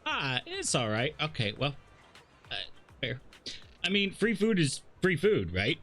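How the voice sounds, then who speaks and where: Higher-pitched male streamer, irreverent and slangy. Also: very animated, measured pace, a bit bright. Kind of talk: casual game livestream, reading dialogue and reacting. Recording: game music behind the voice.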